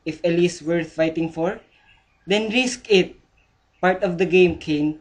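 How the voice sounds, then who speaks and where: A man with a Filipino accent playing a caring father who gives earnest, slow advice. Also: high voice. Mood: urging. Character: caring father of a grown son, encouraging. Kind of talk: amateur audio drama acting.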